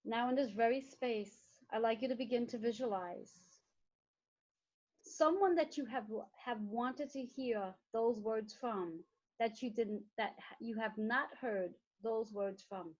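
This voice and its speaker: A woman leading a guided meditation in slow, soothing, repetitive phrases. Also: fairly high pitch, darkish tone. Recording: dull lo-fi recording.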